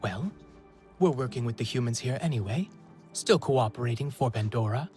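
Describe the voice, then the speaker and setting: Earnest young alien man played by an actor, lively pitch. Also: normal speed, faint music behind, lightly acted. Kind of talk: video game dialogue acting.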